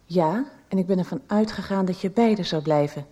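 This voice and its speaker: A calm, plainspoken woman doctor in a radio soap, played by an actress, gently reproving. Her voice is slightly low with a relaxed, mellow tone. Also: a little fast, close to an everyday voice. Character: composed, reproving female doctor.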